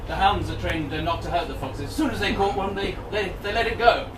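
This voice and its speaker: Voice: mid-range voice, fairly even intonation, fairly quick, crowd noise, lightly acted. An amateur pantomime performer playing a bashful young prince posing as a servant, earnest and hesitant, stammering along. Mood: awkward, earnest. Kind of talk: amateur pantomime stage acting. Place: small indoor venue before an audience. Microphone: far camera. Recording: echoey hall, distant camera, noisy audience.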